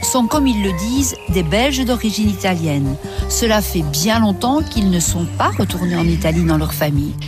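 A woman delivering scripted documentary narration in a measured, composed way. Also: mid-range voice, lively intonation. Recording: music bed under narration.

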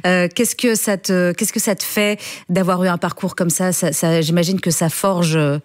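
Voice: mid-range voice, fairly even intonation, normal speed. A radio host interviewing a guest in a casual way, laughing often and restarting questions.

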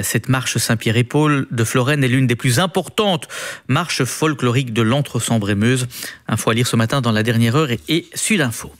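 A man reading the radio news as anchor, brisk with occasional fillers. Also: mid-range voice, a bit bright.

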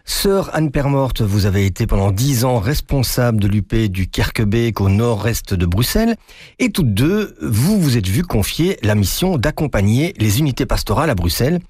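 Interview host, male, husky voice, dry close studio sound, polite and measured. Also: mid-range voice, sing-song, darkish tone, dry recording. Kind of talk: radio interview.

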